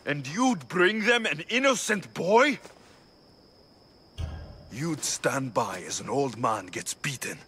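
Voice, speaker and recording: An actor playing a tough, sardonic, protective mercenary of ancient Greece in a video game cutscene, blunt and commanding. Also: male voice, on the high side, expressive, slow pace, pauses often, sharp tone, slight background noise, exaggerated voice. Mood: threatening, stern. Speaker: mercenary hero.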